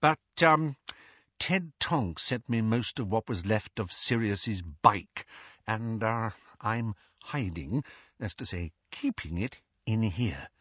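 A man's voice: fairly low pitch, animated, slow, fairly bright tone, breathy edge, dry recording, full character voice. A man voicing a kindly, fussy middle-aged wizard father who hesitates with fillers. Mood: sheepish. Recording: dry, dull lo-fi audio.

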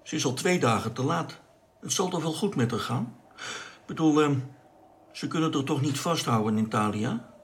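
A man's voice: a little high, expressive, normal speed, slight background noise, lightly acted. A man acting a worrying, informal space crewman in a radio play. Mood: worried. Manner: informal, fretful. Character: Jimmy, chatty worrying male space crewman. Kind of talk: radio drama dialogue.

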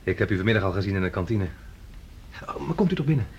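An actor playing an amiable, inquisitive academic delegate in conversational radio play dialogue. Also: male voice, fairly low pitch, animated, fast, dull audio, slight background noise. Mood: polite.

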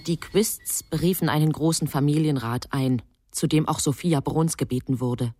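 A woman narrating a German radio drama in a sober, measured, literary manner. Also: sits low, warm tone, no echo, studio quality.

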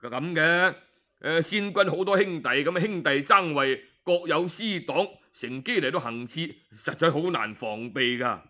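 A man's voice: a little high, expressive, normal speed, pushed and bright, dull audio. A man with a Cantonese accent playing a sly, nervous courtier in a story, stammering evasive excuses. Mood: defensive.

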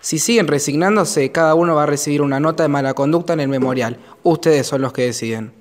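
A man playing a calm, firm school director addressing pupils in an amateur radio play. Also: fairly high pitch, fast talker, natural voice.

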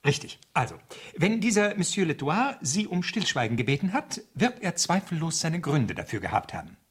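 An actor in a German radio play voicing a fussy, inquisitive gentleman who is probing, hesitant and a bit dismissive. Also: fairly high pitch, big pitch swings, moderate pace, slightly rough. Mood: patronising. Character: inquisitive, fussy gentleman questioning his cleaning woman.

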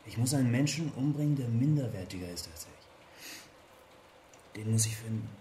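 An actor playing a despairing, brooding poet in a radio drama, speaking in grim, obsessive short declarations. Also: male voice, medium pitch, animated, a little fast, dark tone, slight background noise, close to an everyday voice. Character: despairing, brooding male poet with murderous resolve.

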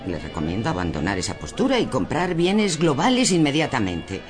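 A man acts out a docile lady devoted to consumerism in a surreal radio drama. The delivery is theatrical and preachy, with wildly swooping intonation and a Castilian accent. Loud music plays underneath. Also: mid-range voice, wildly swooping pitch, brisk. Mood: preachy, insistent. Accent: Castilian Spanish. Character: docile, smug female shopper devoted to consumerist control.

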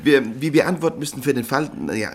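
An actor playing a harried newspaper editor in a radio play, curt, impatient and dismissive. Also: male voice, medium pitch, sing-song, quick pace, creaky. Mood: brusque. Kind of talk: 1950s radio play acting.

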